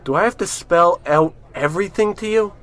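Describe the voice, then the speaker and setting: A man's voice: high voice, moderate pace, fairly bright tone. An actor playing a brash, cocky bar-room wise guy in an old-time radio comedy, bossy and full of slang. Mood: brash, impatient.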